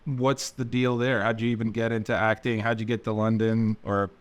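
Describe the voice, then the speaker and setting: A man co-hosting a podcast interview, firing off brisk, jokey questions. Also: fairly low pitch, level tone, smooth. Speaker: podcast co-host.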